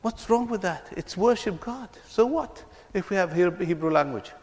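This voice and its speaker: A man's voice: high voice, expressive, moderate pace. Man, reconciliation speaker, earnest and repetitive storyteller, reverberant hall sound. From Palestine. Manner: earnest storytelling, often repeats words. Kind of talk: speech to an audience.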